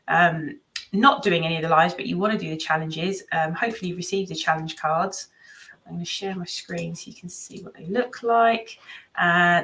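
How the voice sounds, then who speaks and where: Bright-voiced woman chatting on a livestream. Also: medium pitch. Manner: chatty, hesitant, thinking aloud. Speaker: host of a book readalong livestream. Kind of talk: casual live-stream chat, reading out comments and cards.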